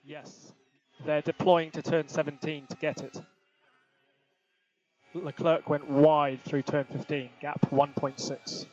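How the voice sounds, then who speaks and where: Male race engineer, slightly high-pitched, clipped and factual, heard over a muffled team radio channel. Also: flat delivery, slow pace, pauses often, darkish tone. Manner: clipped, matter-of-fact. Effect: team radio transmission. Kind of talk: terse radio instructions to a racing driver.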